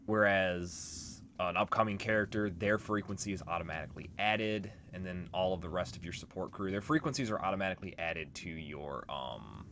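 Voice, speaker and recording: A man's voice: sits low, normal speed, few pauses, a bit bright, slight background noise. A man giving casual, informal, sweary video game commentary as a let's play host. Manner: profane, informal.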